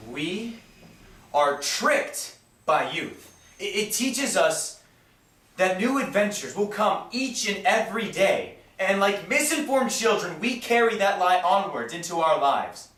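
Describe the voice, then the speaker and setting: A man performing a stage monologue as an earnest, disillusioned writer who muses philosophically and deliberately. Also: high voice, very animated, a bit bright, heavily acted. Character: earnest, disillusioned male writer musing on life. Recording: echoey room, far from mic.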